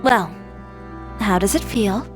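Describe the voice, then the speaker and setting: A young woman who designs fashion, in a video game story. Her voice sits at a middle pitch. She is assertive and proud, with a frank, measured and wry delivery. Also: animated, music in the background. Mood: calm.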